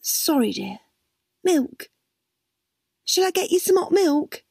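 A woman's voice: very high voice, very animated, slow, vocal fry, a little mellow, no room sound, studio quality, voice pushed up, full character voice. A woman playing a sly, wheedling young carer. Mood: coaxing.

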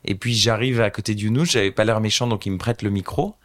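A French man in his thirties speaks as an interview guest, recounting a story with lively, animated turns. His voice sits on the low side, relaxed and conversational. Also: quick pace. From France. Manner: animated anecdotal storyteller, addresses host directly. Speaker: guest, social entrepreneur.

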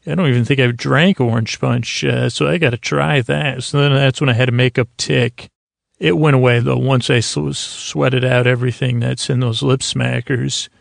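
A man hosting a bedtime podcast in a creaky voice, meandering and halting as he trails off mid-thought. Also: mid-range voice, fairly even intonation, no room sound, clean studio sound. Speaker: podcast host. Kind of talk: rambling bedtime monologue to help listeners sleep.

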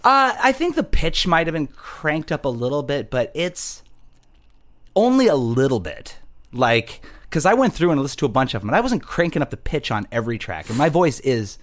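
A man's voice: a little high, big pitch swings, normal speed, fairly bright tone. A man chatting casually about his old music, self-deprecating and laughing. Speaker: podcast guest musician. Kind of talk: casual chat.

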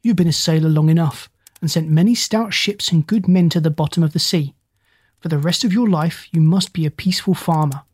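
A woman voicing a kindly but stern male animal doctor in a children's storybook, speaking in measured, polite commands. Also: sits low, a little mellow, slightly rough, no room sound.

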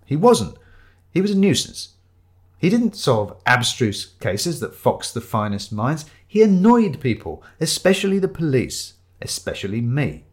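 A man's voice: fairly high pitch, big pitch swings, a little slow. A man narrating a comic first-person story in a wry, dry storytelling manner. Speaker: audiobook narrator.

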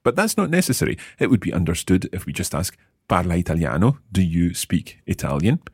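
Deep-voiced man teaching, slightly husky. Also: dry recording, clean studio sound. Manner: patient, lively, repeats phrases slowly. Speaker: language teacher and host. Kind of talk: explaining and quizzing co-hosts.

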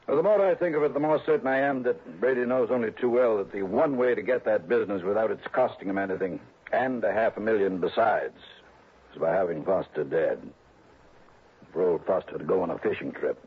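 Casting: suspicious, pushy client, husky mid-pitched voice, thin phone-like vintage radio sound. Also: male voice, a little fast. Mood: agitated, suspicious. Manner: emphatic, insistent. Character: agitated, insistent, suspicious male businessman client. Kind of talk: 1960s radio drama acting. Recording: tinny, narrow old radio sound.

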